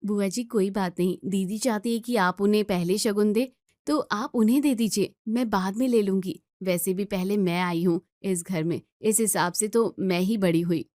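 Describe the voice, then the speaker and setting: A woman's voice: mid-range voice, normal speed, warm tone, dry recording, studio quality, natural voice. Woman as a patient, sensible young sister-in-law. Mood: conciliatory. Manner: reasoning, placating.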